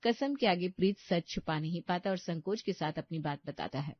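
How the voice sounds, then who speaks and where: A woman's voice: fairly low pitch, expressive, moderate pace, no echo, highs cut off. A woman narrating a scripted family story as a storyteller.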